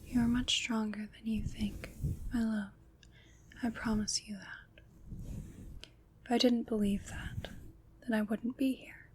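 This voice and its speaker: A woman plays a kind, comforting witch in an ASMR roleplay. She whispers very slowly and evenly, leaving deliberate pauses and sounding gentle and reassuring. Noticeable noise sits behind her hushed voice. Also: a little high, level tone. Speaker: ASMR performer.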